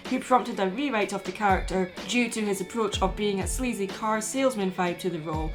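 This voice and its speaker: A woman's voice: medium pitch, a little fast. A woman host reading a scripted video essay in an opinionated, emphatic way. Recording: music playing behind the voice.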